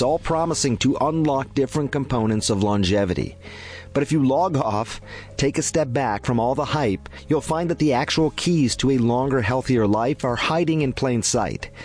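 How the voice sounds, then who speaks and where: A man's voice: mid-range voice, a little mellow. Man presenting a scripted health tip. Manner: clear, measured explanatory delivery. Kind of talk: scripted radio health segment.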